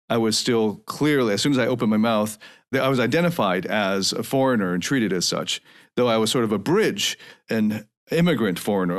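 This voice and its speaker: A man in his forties from North America hosting a podcast alone, speaking in a reflective, earnest way and often restarting as he thinks aloud. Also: lowish voice. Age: 40s. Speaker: podcast host. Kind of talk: solo podcast monologue.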